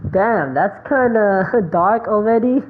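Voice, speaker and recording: A woman's voice: mid-range voice, animated, slow pace. A woman giving casual, sweary reaction commentary as a game streamer. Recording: thin phone-like sound, faint noise.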